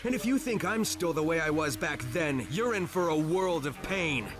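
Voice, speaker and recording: A man's voice: on the high side, animated, normal speed, lightly acted. Guy doing a brash young bad guy, swings all over, taunting game dub, music behind. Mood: cocky. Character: cocky, hot-headed young male villain, vain and vengeful. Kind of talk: dramatic video game dub acting. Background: dramatic game music.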